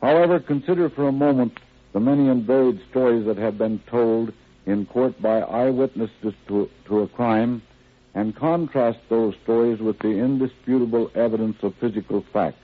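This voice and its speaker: A man, a county sheriff, reading a formal scripted foreword stiffly, halting over words. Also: medium pitch. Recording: tinny 1930s radio recording.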